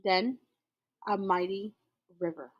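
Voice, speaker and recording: A woman's voice: medium pitch, muffled recording, a little hiss or hum. A woman reading a children's picture book aloud expressively, stressing words and drawing out repetitions for effect. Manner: emphatic, with drawn-out repetitions for effect. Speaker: school storyteller.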